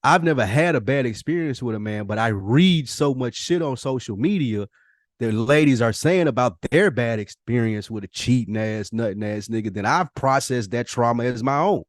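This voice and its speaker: A man's voice: medium pitch, animated, moderate pace, no room sound, clean studio sound. A man chatting casually as a podcast host, blunt and questioning, with profane slang. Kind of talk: casual conversational podcast chat.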